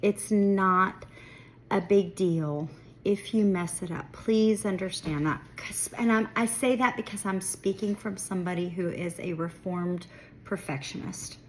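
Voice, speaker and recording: A young woman chats her way through baking in her kitchen, her voice stuffy and congested. She sits at a middling pitch and keeps her tone easygoing and encouraging. A faint hum of the room sits behind her. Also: a little slow, rough recording. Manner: chatty, self-deprecating, reassuring. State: congested, sniffling. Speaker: home baking vlogger. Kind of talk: casual baking tutorial talk. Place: home kitchen.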